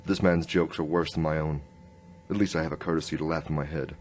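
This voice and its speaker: A man playing a world-weary, wry police inspector who narrates a noir radio drama in a brooding deadpan. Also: very deep voice, fast talker.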